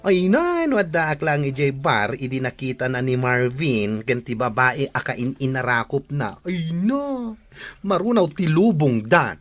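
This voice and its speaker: A woman's voice: extremely low pitch, big pitch swings, a little slow, faint music behind, full character voice. A woman playing a blunt, exasperated young friend, sassy and emphatic, in an Ilocano radio drama. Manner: sassy, emphatic, mixes in English.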